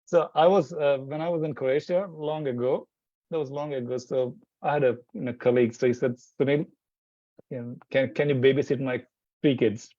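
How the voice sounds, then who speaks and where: A man's voice: mid-range voice, fairly even intonation, moderate pace, warm tone, dry recording, muffled recording. A man speaking as an interview guest, rambling with many restarts and fillers. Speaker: Hindi teacher, interview guest. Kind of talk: casual interview chat.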